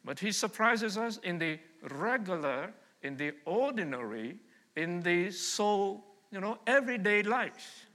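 A middle-aged man from Vietnam gives a sermon in a church hall, and his voice rings out through a long, echoing reverb. His tone is very bright and a little high for a man. He keeps it conversational, putting searching questions to the congregation. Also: very animated, unhurried. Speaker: pastor. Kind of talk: sermon with congregation call-and-response.

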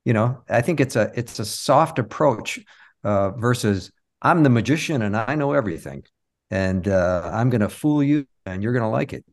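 Conversational man telling anecdotes, mid-pitched. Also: expressive, moderate pace. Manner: explanatory, conversational storyteller. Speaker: interview guest, performing magician. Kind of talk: casual anecdotal interview talk.

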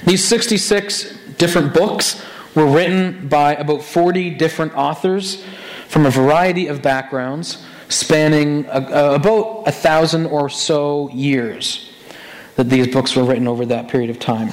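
Male pastor, raspy and hoarse, fairly high voice, chatty sermon delivery full of restarts. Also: measured pace, low-quality recording. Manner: conversational, animated with many restarts.

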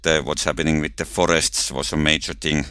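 A man with a Finnish accent explains ideas with care, often catching and restarting his words. His very deep voice has a bright, smooth clarity. The sound is dry, with no room around it. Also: flat delivery, fairly quick, dry recording. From Finland. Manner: careful, explanatory, with frequent small restarts. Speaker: researcher and guest. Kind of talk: thoughtful podcast conversation.

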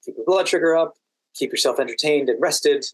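A man's voice: a little high, brisk, husky, no echo, rough recording. A man from Washington DC talking as a podcast guest, explaining things casually and thoroughly. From Washington DC, United States. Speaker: podcast guest, working standardized patient.

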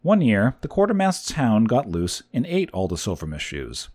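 A man's voice: on the low side, huge pitch swings, normal speed, slightly rough, full character voice. A man voicing a wry, patient mother. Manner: wry, gently scolding.

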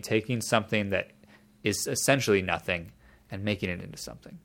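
A man in casual conversation, explaining a topic at length in a thoughtful way, often restarting his sentences. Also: deep voice.

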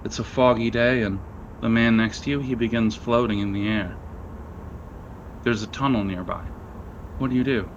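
A man's voice: on the low side, fairly even intonation, moderate pace, long pauses, highs cut off, a little hiss or hum. A man running a tabletop role-playing game, improvising narration in a casual, conversational way and reacting to his players. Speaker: game master.

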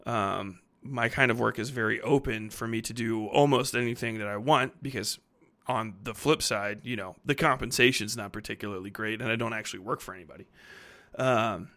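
A man's voice: lowish voice, normal speed, sharp tone. A man in his thirties hosting a podcast, talking off the cuff in a rambling, blunt, slangy way with plenty of swearing. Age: 30s. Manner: rambling, heavy casual swearing. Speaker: podcast host and fiction writer. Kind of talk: casual unscripted podcast monologue.

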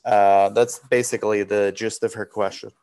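A man's voice: fairly low pitch, level tone, moderate pace, darkish tone, smooth. A man from Romania hosting a webinar, asking questions in a casual, friendly way. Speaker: webinar host. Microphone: video call.

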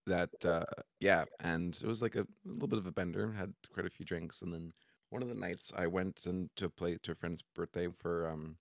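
Hoarse, raspy young man, very low voice. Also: fairly even intonation, brisk, no echo, clean studio sound. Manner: rambling storytelling full of fillers. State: hoarse, raspy and cracky from drinking. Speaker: podcast co-host. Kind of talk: casual chat.

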